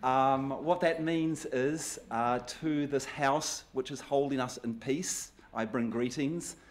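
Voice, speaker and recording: A man's voice: mid-range voice, fairly even intonation, slow. Good-humoured New Zealand man giving a talk. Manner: conversational, good-humoured, self-deprecating asides. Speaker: guest speaker. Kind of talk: public talk with slides.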